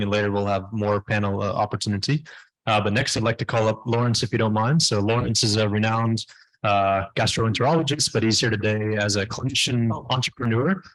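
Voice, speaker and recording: Male moderator, brisk, courteous, lowish voice, dry sound. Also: a bit bright, no room sound, low-quality recording. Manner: brisk, courteous, short prompts. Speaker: event moderator. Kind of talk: moderating a panel Q&A.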